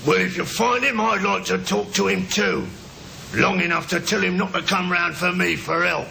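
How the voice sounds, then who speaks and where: A man's voice: normal speed, gravelly, sharp tone, full character voice. A man playing a wary, disgruntled uncle in a radio drama, curt and grumbling. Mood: suspicious. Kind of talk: 1950s radio drama acting. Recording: old noisy radio recording with rumble.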